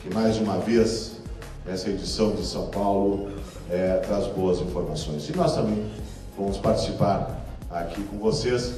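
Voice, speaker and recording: Formal speaker, low voice; distant roomy hall with music. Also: male voice, normal speed, mellow. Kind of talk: formal opening speech. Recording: echoey hall from far off.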